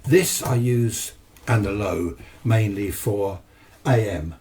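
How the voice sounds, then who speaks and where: Low-voiced British man, chatting off-mic. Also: slow, darkish tone. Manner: chatty, rambling, matter-of-fact. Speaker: amateur radio hobbyist presenting. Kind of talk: casual unscripted hobby vlog. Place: home radio shack, indoors. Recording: distant microphone, noisy room ambience.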